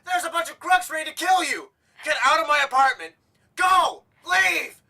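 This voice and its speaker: A man's voice: very high-pitched, lively intonation, moderate pace, gravelly, sharp tone, dry recording, exaggerated voice. Actor as desperate, volatile young gangland man. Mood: furious, desperate. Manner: earnest, emotionally intense. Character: desperate, guilt-ridden young gangland man, protective lover. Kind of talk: radio drama acting.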